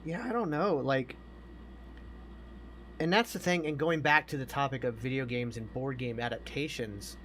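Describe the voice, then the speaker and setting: A man's voice: medium pitch, moderate pace, fairly bright tone, a little hiss or hum. A man co-hosting a podcast, talking casually and emphatically as he gives his opinions. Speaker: podcast co-host.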